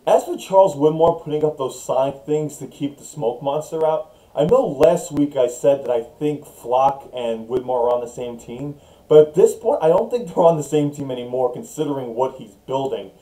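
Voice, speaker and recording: A man's voice: mid-range voice, lively intonation, dark tone, poor audio. A young man casually recapping and theorizing about a TV episode in a chatty vlog. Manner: chatty and theorizing, quick bursts. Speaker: show recap vlogger.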